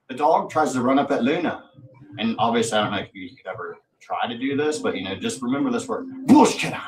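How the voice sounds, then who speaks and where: A man hosting a livestream, rambling casually in a boastful way with plenty of swearing. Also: lowish voice. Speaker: livestream host. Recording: roomy, distant mic, faint noise.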